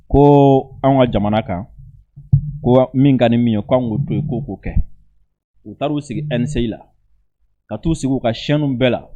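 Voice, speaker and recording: A man's voice: medium pitch, warm tone, lo-fi sound. A man with a West African accent, a native Bambara speaker, reading statements aloud and commenting on them informally in an emphatic, repetitive way. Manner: emphatic, repeats phrases for emphasis. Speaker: online video commentator.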